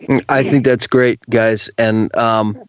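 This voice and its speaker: A man's voice: mid-range voice, fairly even intonation, slow, clean tone, no echo, rough recording. Male radio host in a dry room. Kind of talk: casual radio interview.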